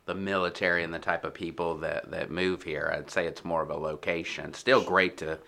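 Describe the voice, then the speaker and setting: Deep, bright-toned man around forty. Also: fairly even intonation, brisk, vocal fry. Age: 40s. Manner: brief, plainspoken replies. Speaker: real estate agent guest. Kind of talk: casual interview answers.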